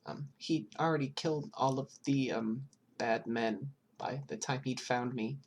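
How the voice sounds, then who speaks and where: A narrator voicing a frightened, timid little girl in an audiobook, speaking haltingly and hesitantly. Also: mid-range voice, steady tone, breathy edge, voice pushed up. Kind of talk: acted audiobook dialogue.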